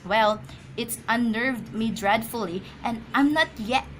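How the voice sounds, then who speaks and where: A woman's voice: a little high, measured pace, a bit bright, a little hiss or hum, rough recording. A woman acting an anxious, imperious, easily flustered wife in an amateur radio drama, agitated and stammering.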